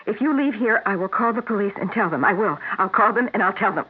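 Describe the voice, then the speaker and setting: A woman's voice: medium pitch, quick pace, breathy edge, lightly acted. An actress playing an earnest, worried woman in a 1970s radio drama, speaking intently. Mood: pleading, afraid. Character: earnest, worried woman taking danger seriously. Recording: muffled, narrow-band 1970s radio broadcast.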